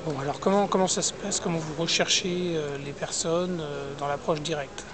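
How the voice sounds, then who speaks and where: A man interviewing a guest, asking polite, drawn-out questions. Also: fairly high pitch, fairly even intonation. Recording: distant from mic, crowd behind.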